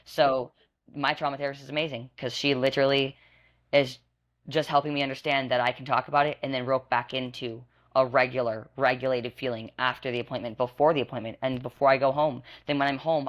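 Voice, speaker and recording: A woman's voice: rumbling low, fairly even intonation, fairly quick, muffled recording. Woman in her twenties. Earnest, rambling guest who keeps restarting her sentences. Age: 20s. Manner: earnest, rambling, self-correcting. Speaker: podcast guest. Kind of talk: candid personal testimony.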